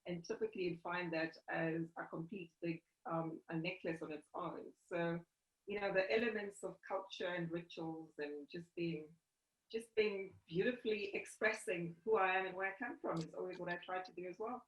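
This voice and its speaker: A woman's voice: fairly low pitch, fairly even intonation, moderate pace. A middle-aged woman from South Africa, a company CEO, talking earnestly in a video call interview from a home room, often restarting her phrases. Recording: roomy, distant, dull video call audio.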